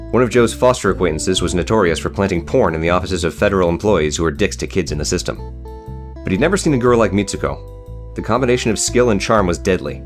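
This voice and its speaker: A man narrates a prose story for an audiobook in a low-pitched voice. He moves through the scripted lines at a quick clip, with faint guitar music behind him.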